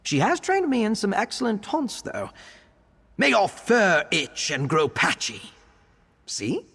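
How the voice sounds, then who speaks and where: A bookish young male vampire scholar speaks in a husky voice that rises and falls freely. He is courteous, earnest and precise, with formal wording suited to a fantasy game. A long reverberant tail follows his words. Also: high-pitched, a bit bright, heavily acted. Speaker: game character, arcane investigator. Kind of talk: scripted game character monologue.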